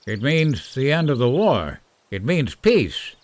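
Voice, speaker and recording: A man playing an earnest, peace-minded professor in a science fiction radio drama, gently pleading his case. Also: medium pitch, big pitch swings, takes it slowly. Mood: hopeful, pleading. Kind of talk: old-time radio science fiction acting. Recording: faint noise behind the voice.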